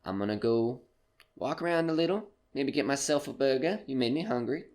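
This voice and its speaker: A man playing a sly, genial but menacing supernatural debt collector in an audio horror drama, drawling and teasing with casual pauses. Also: medium pitch, lively intonation, a little mellow, natural voice. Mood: casually persuasive.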